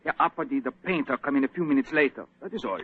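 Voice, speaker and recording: A man's voice: medium pitch, quick pace, slight background noise, lightly acted. A man playing an obliging, deferential porter with a German accent in a 1940s radio drama. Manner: deferential, clipped answers. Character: obliging, matter-of-fact Viennese male porter. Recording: slightly dull, muffled old radio recording.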